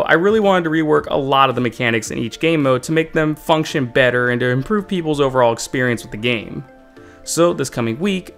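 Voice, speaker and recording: A man's voice: medium pitch, fairly bright tone. A man hosting a scripted video update as a game developer, speaking in an upbeat, informal way over music.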